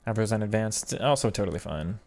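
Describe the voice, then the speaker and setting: Male streamer, lower register, loose and chatty, talks through tactics off the cuff. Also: quick pace, gravelly, a little mellow. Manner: chatty, thinks aloud with frequent restarts. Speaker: streamer and game coach. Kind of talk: casual live-stream commentary reading chat.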